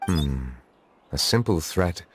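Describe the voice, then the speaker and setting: A man voicing a dignified, composed dragon judge in a video game, speaking in a formal, measured, earnest way. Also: low voice, animated, soft background music. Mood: serious, concerned. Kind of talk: scripted video game voice acting.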